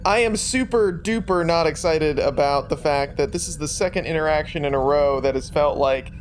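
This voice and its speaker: A tabletop game player talking in casual, animated table chat. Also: mid-range voice, moderate pace, slight background noise.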